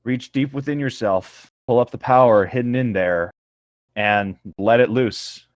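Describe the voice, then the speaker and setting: A man's voice: medium pitch, a little slow, no echo, lightly acted. A man voicing a tender, earnest male hero in a melodramatic fantasy story. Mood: earnest urging. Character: earnest, tender male hero urging his love onward.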